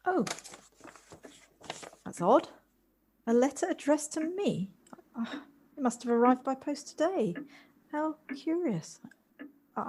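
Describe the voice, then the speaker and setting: A woman's voice: a little high, mellow, slight background noise. A woman acting in an audio play as a polite, hesitant visitor, kindly and earnest, with small stammers. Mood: puzzled. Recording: amateur home recording on personal device.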